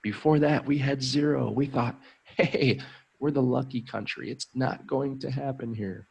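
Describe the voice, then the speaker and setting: Gravelly-voiced American man, upbeat tutor. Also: medium pitch, measured pace, darkish tone, dull audio. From United States. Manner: friendly, upbeat, many ums. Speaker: English tutor hosting a live stream. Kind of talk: casual live-stream chat.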